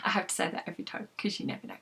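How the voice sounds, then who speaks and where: A woman's voice: medium pitch, flat delivery, very fast, gravelly, bright, forceful tone, breathy edge. A British woman, a novelist, chatting casually to her followers in an anecdotal, self-deprecating way. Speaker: novelist hosting a read-along vlog. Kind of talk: casual chat to followers with book reading.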